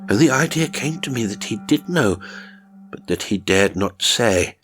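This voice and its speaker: Deep-voiced man narrating a horror story. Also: expressive, normal speed, a bit bright, faint music behind. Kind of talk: dramatic first-person horror story narration.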